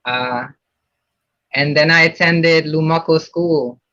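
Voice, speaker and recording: Puerto rican guy rambling w lots of ums, bright voice, dry dull-ish recording. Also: on the high side, steady tone, takes it slowly, dry recording, faint music behind. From Puerto Rico. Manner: rambling, many fillers and restarts. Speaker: guest. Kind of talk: casual interview. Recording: dull lo-fi sound.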